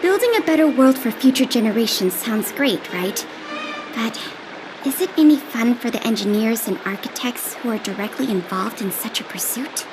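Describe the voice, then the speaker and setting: A woman's voice: fairly high pitch, expressive, normal speed, noisy background, lightly acted. A woman playing a friendly, pragmatic young woman in a game cutscene. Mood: matter-of-fact.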